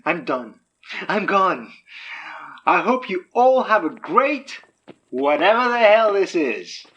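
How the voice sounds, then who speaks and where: A man's voice: high voice, very animated, slow pace, a bit bright, slightly rough, dull audio, full character voice. A man playing a frightened, indignant character in an audio drama, agitated and sputtering. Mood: bewildered, indignant. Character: panicked, indignant man fleeing strange occult goings-on.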